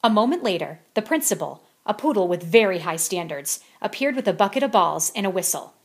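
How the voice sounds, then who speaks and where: A woman narrating a children's storybook as an expressive bedtime read-aloud. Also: mid-range voice, brisk, slightly rough.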